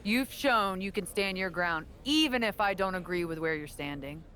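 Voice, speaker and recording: A woman playing a proud veteran wrestling champion and earnest mentor in a video game cutscene, speaking in a measured, assured way. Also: lowish voice, a bit bright, slight background noise, lightly acted. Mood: earnest, conciliatory.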